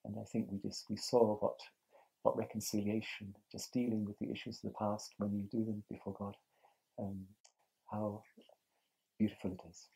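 A man in his sixties from England, answering interview questions outdoors in a thoughtful, halting way with frequent restarts. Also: fairly low pitch, little pitch movement, vocal fry, warm tone, husky, poor audio. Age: 60s. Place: outdoors on a terrace by a lake.

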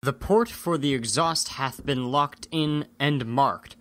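A man's voice: medium pitch, takes it slowly, a bit bright, dry recording, clean studio sound. A man playing a resolute squadron leader who gives commands in declaimed Elizabethan verse in an audio drama. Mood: commanding.

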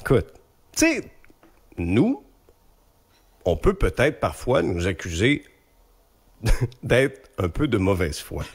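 A man in his thirties with a Quebec French accent and a congested nose, giving animated, colloquial radio commentary into a close mic. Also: lowish voice, slow pace, pauses often, breathy edge. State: congested from a respiratory infection. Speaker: radio columnist and host. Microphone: close studio microphone, remote video link.

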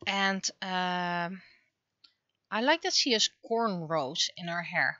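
A woman with a bright, forward voice talks casually as she draws on a livestream. She muses half to herself and lets thoughts trail off. The recording is dry and close, a little dull. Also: on the low side, slow pace, no echo. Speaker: livestreaming webcomic artist. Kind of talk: casual livestream chat while drawing.

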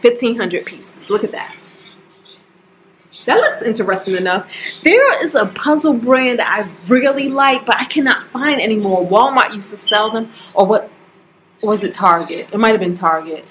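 A woman in her forties, talking casually to camera at home about her monthly budget, chatty and expressive, calling her viewers y'all. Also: a little high, normal speed, a little hiss or hum, poor audio. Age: 40s. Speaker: budgeting vlogger. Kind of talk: casual direct-to-camera talk. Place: home staircase, indoors. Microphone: camera at close range.

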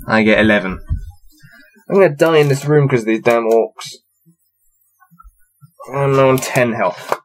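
A man's voice: mid-range voice, a little slow, long pauses, faint music behind. A young man in his twenties reading a fantasy gamebook aloud at his desk and chatting through his dice rolls with amused laughs. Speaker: reader playing a gamebook. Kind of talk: casual read-aloud with dice commentary. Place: small cluttered room with shelves, indoors.

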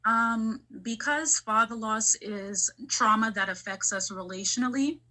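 A woman's voice: on the high side, measured pace, pushed and bright, rough recording. A woman hosting a casual, reflective interview, talking things through and asking questions. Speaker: podcast host interviewing a guest.

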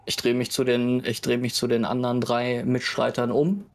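A man's voice: mid-range voice, little pitch movement, moderate pace. A man playing a tabletop game, talking casually and hesitantly.

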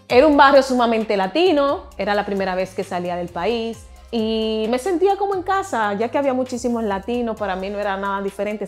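A young woman from the Dominican Republic telling her migration story in a chatty, laughing way, acting out the voices of people she quotes. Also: on the high side. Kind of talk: casual storytelling vlog.

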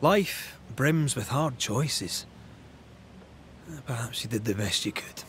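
A man playing a resolute, grave ghost hunter in a dramatic video game, measured and stern, with a gravelly voice. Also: mid-range voice, lively intonation, fairly bright tone, a little hiss or hum.

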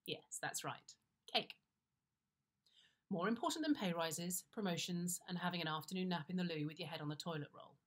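A middle-aged British woman reading a comic first-person story in a conversational, wry and confiding way with expressive timing. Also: fairly low pitch, moderate pace. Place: indoors, plain-walled room.

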